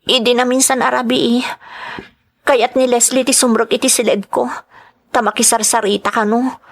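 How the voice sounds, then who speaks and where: A woman's voice: on the high side, level tone, moderate pace. A woman playing an anxious pleading kitchen worker. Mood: worried. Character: worried female kitchen worker confiding in a friend. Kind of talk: audio drama acting.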